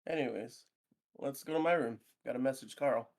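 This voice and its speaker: A man reading the lines of a friendly, easygoing young man in a visual novel, in a relaxed, offhand way. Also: mid-range voice, normal speed, lightly acted.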